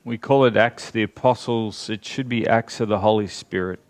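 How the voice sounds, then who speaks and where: A man in his forties with an Australian accent, preaching a sermon in a large church hall, chatting with the congregation and stressing key words slowly. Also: fairly low pitch. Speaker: pastor.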